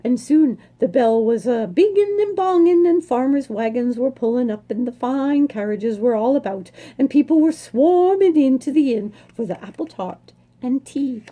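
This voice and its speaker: High-pitched, animated woman reading a children's story. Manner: dramatic, savoring words with emphatic pauses. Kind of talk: expressive read-aloud of a children's storybook.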